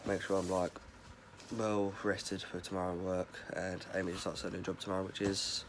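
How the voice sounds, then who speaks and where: A young man with a head cold and a hoarse voice, rambling casually through a daily vlog. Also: sits low, flat delivery, normal speed, noisy background. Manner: rambling, chatty, filler-heavy. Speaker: vlogger.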